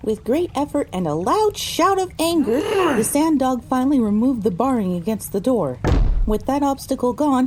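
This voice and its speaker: A woman's voice: on the high side, slight background noise. A woman narrating an audio drama in the first person from a script.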